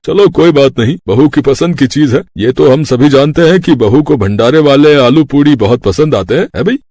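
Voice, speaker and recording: A man's voice: mid-range voice, moderate pace, darkish tone, no echo, close to an everyday voice. Man playing an easygoing older father-in-law.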